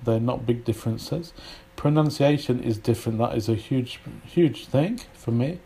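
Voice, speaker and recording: A man's voice: medium pitch, moderate pace, vocal fry, mellow, a little hiss or hum. A man from Britain whose British English accent carries some Polish influence, teaching an informal English lesson in a rambling, hesitant way with many fillers and restarts.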